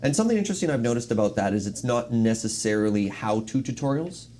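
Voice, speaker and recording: A man's voice: medium pitch, animated, fast, dark tone. A man speaking casually and off the cuff as a panel guest giving recommendations. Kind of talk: casual unscripted chat. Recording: faint room noise behind.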